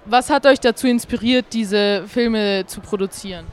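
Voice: mid-range voice, normal speed. A host interviewing young filmmakers outdoors at a film festival, in slangy, enthusiastic casual talk. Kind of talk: casual vox-pop interview.

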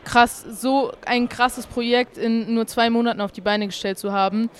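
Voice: medium pitch, steady tone, moderate pace. Upbeat slangy festival interviewer. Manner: slangy, enthusiastic youth talk. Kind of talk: casual vox-pop interview.

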